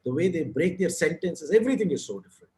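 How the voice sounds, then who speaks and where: A man's voice: a little high, lively intonation, quick pace, warm tone. A man with a South Indian accent, a guest on a video-call interview, talking in a frank, rambling way with restarts and casual swearing. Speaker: guest, advertising writer and author.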